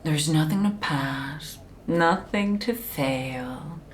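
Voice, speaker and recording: ASMR roleplay: actress as calm, controlling female conditioning specialist. Low, soft, whispery voice, soothing clinical manner, faint noise behind. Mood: measured, knowing. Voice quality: soft, breathy, often whispering. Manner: slow, deliberate, soothing, clinical.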